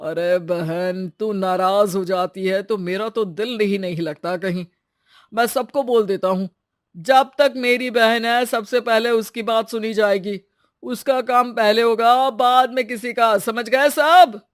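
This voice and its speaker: A man's voice: sits high, fairly even intonation, a little slow, no echo. Man playing a doting brother, dry close sound. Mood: coaxing. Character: doting, indulgent grown-up brother of the aunt. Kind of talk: Hindi moral story voice acting.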